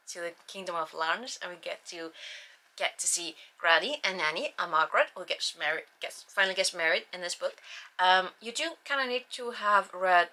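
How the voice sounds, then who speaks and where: A woman's voice: fairly low pitch, pushed and bright. A young woman from Iceland with an Icelandic accent, chatting casually and a little self-deprecatingly about books she has read, with frequent restarts. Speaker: book channel host. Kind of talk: casual book review vlog.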